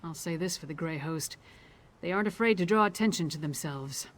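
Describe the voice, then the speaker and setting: A woman's voice: low voice, fairly quick, natural voice. Woman acting a bold, plain-spoken warrior. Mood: wry, businesslike. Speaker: game character. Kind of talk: scripted video game dialogue.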